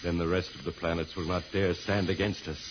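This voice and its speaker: A man's voice: low-pitched, little pitch movement, a little fast, close to an everyday voice. Casting: male actor as megalomaniac space tyrant, menacing radio-serial villain, commanding, dull vintage recording with crowd noise. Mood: gloating menace. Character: ruthless megalomaniac male space warlord, domineering and boastful. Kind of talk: old-time radio serial acting.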